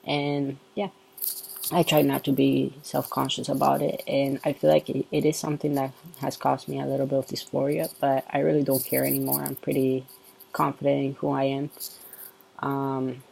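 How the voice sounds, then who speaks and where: A man with a soft, androgynous-sounding voice, talking through a casual update vlog in a relaxed, unhurried way. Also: mid-range voice, gravelly, slightly rough, poor audio. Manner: relaxed and unhurried, with frequent ums. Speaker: vlogger.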